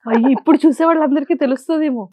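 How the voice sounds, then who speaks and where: A woman's voice: high-pitched, very fast, darkish tone, no echo. A woman with a Telugu Indian accent hosting a casual interview and putting questions to her guest. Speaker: interview host.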